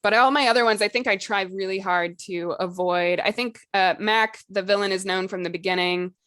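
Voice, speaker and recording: A woman's voice: mid-range voice, fairly even intonation, brisk, a bit bright, no echo. Woman podcast co-host, dry close sound. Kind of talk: casual podcast discussion.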